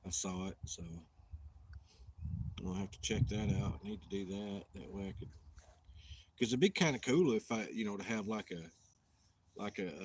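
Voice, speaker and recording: A man from Tennessee with a Southern accent, hosting a live outdoors stream in a halting, rambling, self-deprecating way with lots of restarts. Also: fairly low pitch, slight background noise. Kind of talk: casual live-stream chat.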